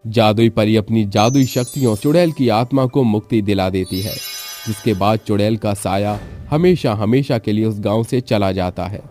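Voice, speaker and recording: A man's voice: fairly low pitch, normal speed, warm tone. A man giving scripted narration for a storybook tale. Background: music.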